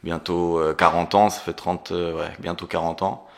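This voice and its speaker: Deep, flat-voiced man. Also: moderate pace, gravelly. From Geneva, raised in Brazil. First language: Portuguese. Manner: hesitant, many fillers, earnest. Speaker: guest, entrepreneur and coach. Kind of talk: casual interview storytelling.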